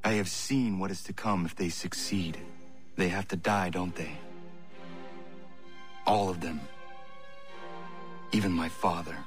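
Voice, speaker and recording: An actor playing an earnest, solemn young apprentice in a video game, speaking in a formal, measured way. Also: male voice, sits low, fairly even intonation, soft background music. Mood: grim. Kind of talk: dramatic game dialogue and solemn narration.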